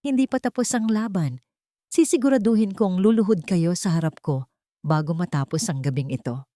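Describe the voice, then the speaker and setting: A woman's voice: on the low side, normal speed, mellow, no room sound, clean studio sound, close to an everyday voice. A woman voicing a proud, wronged father, anguished and defiant, in an emotional audio drama. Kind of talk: melodramatic audio drama acting.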